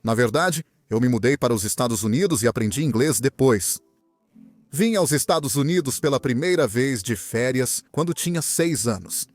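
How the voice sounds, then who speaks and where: A young man from Venezuela, a guest in an interview, telling his story in an earnest, animated way. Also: mid-range voice, normal speed, soft background music. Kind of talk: interview answers, personal story.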